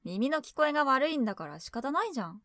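A woman's voice: high-pitched, sing-song, quick pace, pushed and bright, no room sound, full character voice. An actress playing an earnest, needy, devoted schoolgirl in a Japanese audio drama, with an emotional, hesitant delivery. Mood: defensive. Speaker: voice actor.

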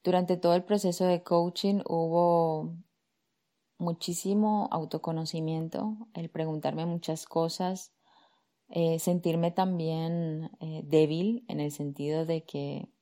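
A woman, a career coach calmly telling her own story on a podcast, soft fillers between thoughts. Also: low-pitched, slow. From Colombia. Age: 30s. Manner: calm, reflective, with frequent soft fillers. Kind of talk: personal storytelling monologue.

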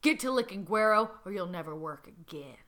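A smug, domineering old tycoon gives orders in a pompous drawl, as a woman reads him out. The voice stays bright, with only a slight drop from her own. It comes across as a tongue-in-cheek comic reading. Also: medium pitch, expressive, fairly quick, natural voice. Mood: commanding.

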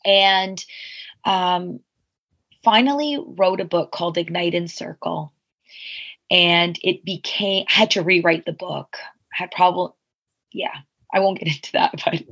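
A woman's voice: fairly low pitch, a bit bright, husky, highs cut off. A woman in her forties, a podcast guest, telling her life story candidly in a reflective, rambling way full of asides. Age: 40s.

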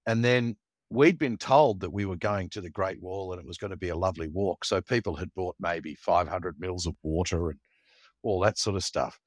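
A co-host, telling jokey stories in casual chat. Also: male voice, low voice, moderate pace, no echo.

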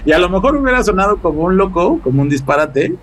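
A Mexican man talks as a guest on a casual podcast. His voice sits a little higher than most men's. He tells things in an animated, slangy way and swears freely. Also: quick pace, poor audio.

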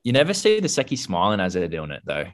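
A man's voice: deep voice, wildly swooping pitch, fast, background noise. A young Australian man co-hosting a podcast, musing aloud in casual, slangy chat. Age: young adult. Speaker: podcast co-host.

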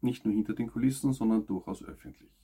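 A man with a low, gravelly voice narrates scripted news. He speaks as a presenter in measured, emphatic phrases, with a husky edge and endings that drop off. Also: mellow.

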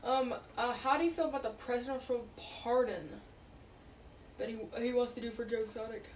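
A woman's voice: on the high side, fairly even intonation, brisk, slight background noise, low-quality recording. A young woman hosting a casual online podcast, talking with strangers in a chatty, playful way full of fillers. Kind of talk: casual chat.

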